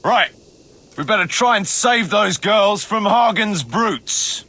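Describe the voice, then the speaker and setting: An actor playing a gruff, cautious mercenary chief in a video game, dry and folksy in his orders. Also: high voice, lively intonation, takes it slowly, bright, forceful tone, slight background noise, heavily acted. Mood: grudging, commanding. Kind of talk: video game cutscene acting.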